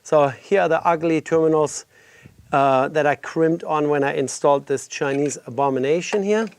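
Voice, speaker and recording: A man's voice: a little high, moderate pace, smooth. A middle-aged man with a congested voice from a cold, casually explaining motorcycle work step by step while he does it in his home garage. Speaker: garage video host. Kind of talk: casual workshop walkthrough.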